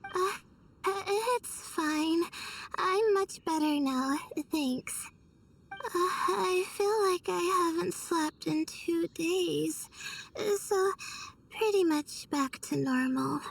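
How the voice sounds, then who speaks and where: A woman playing a timid, exhausted young scholar in a video game, hesitant, fragile and apologetic, with halting murmured pauses. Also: very high-pitched, vocal fry, far from the mic, slight background noise, heavily acted, poor audio. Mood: weary, meek.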